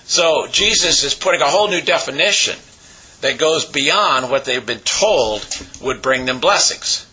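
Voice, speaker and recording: A man with a raspy voice, hoarse from a cold, preaching a sermon as pastor with many pauses and fillers. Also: a little high, animated, sharp tone, muffled recording, slight background noise.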